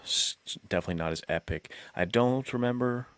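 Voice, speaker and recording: A man's voice: medium pitch, brisk, a bit bright, smooth, no echo. A man talking casually about films as a podcast co-host, laid-back and enthusiastic, with plenty of slang. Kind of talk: casual film discussion chat.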